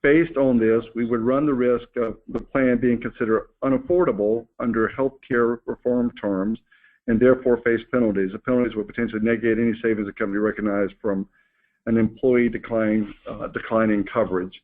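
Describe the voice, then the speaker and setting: A man's voice: mid-range voice, fairly even intonation, fairly quick. Man presenting over a narrow phone line. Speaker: company executive hosting a staff call. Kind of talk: informal business presentation, reading and answering staff questions. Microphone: conference call phone line.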